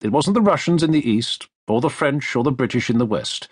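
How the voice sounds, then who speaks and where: A man reading a nonfiction history audiobook as a narrator, with measured, deliberate phrasing, close to a studio microphone. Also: mid-range voice, expressive, husky, no echo. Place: dry recording studio.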